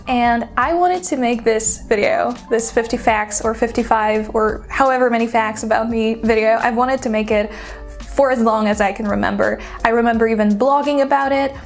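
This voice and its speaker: A woman in her twenties from Poland with a Polish accent, chatting casually to her viewers with frequent "like" fillers. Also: on the high side. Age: 20s. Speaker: YouTuber. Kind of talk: casual vlog chat.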